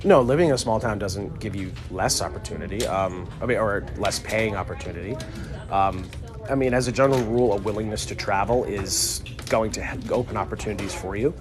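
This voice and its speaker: A man co-hosting a casual live stream from inside a pharmacy, explaining the work in a relaxed, rambling way with lots of fillers. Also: lowish voice, a little fast. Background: store music.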